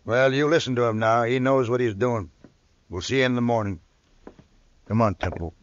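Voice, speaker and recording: An actor playing a smooth-talking, scheming American villain in a radio western, insinuating and controlled in manner. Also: male voice, mid-range voice, moderate pace, a little hiss or hum, close to an everyday voice. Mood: businesslike. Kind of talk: 1950s radio western acting. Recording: dull vintage radio recording.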